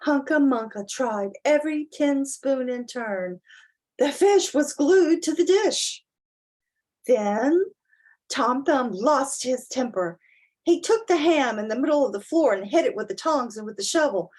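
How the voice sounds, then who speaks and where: A woman reading a children's storybook as a dramatic reading, with deliberate, theatrical emphasis. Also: sits high, animated, a little mellow. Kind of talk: expressive dramatic storybook reading with casual asides.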